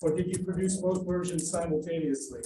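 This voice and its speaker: A man's voice: fairly high pitch, a little fast, dark tone. A man in the audience asking a question in several parts. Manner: asking a multi-part question. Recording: echoey room from far off, faint noise.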